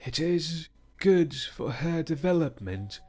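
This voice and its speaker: A man's voice: fairly high pitch, lively intonation, slow pace, dark tone, breathy edge, voice pushed up, heavily acted. A man reading the lines of a stern, ruthless middle-aged male village priest in a storybook, in a commanding tone that drags out emphatic words.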